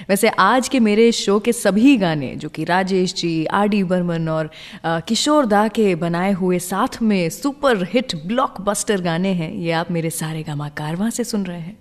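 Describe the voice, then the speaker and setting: A woman presenting a music radio show, speaking conversationally and directly to her listeners. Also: medium pitch. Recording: long reverb, music playing behind. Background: background music.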